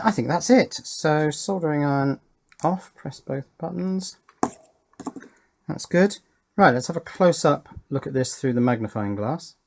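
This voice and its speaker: Unhurried man narrating at a workbench. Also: a little high. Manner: thinks aloud in short halting phrases. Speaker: electronics hobbyist and presenter. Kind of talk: hands-on workbench demonstration, unscripted commentary. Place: indoor electronics workbench.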